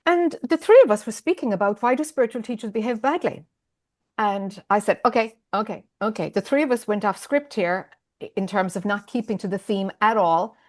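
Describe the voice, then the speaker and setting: A woman's voice: mid-range voice, expressive, brisk, a little mellow. A woman in her fifties with an Irish accent, a spiritual teacher talking candidly and rambling a little as a guest on a video call interview. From Ireland. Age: 50s. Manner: rambling, candid, laughs often. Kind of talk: conversational interview.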